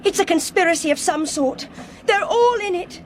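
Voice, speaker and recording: An actress playing an earnest, highly strung young Englishwoman in a radio drama, with an English accent. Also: lively intonation, normal speed, a little hiss or hum. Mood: indignant, frantic. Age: young adult.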